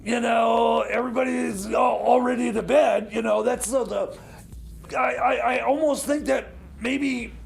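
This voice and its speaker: A man playing a frazzled movie critic in a radio comedy, stammering and repeating his words in a creaky, halting voice. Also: extremely high pitch, fairly even intonation, exaggerated voice. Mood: flustered, strained. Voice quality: creaky, gravelly. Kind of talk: improvised comedy radio skit.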